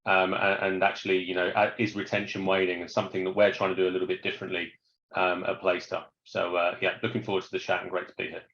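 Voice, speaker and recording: A man from the UK, giving a brisk introduction as a panelist on an online panel. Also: low-pitched, completely flat delivery, fairly bright tone. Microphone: video call. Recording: muffled roomy video call audio.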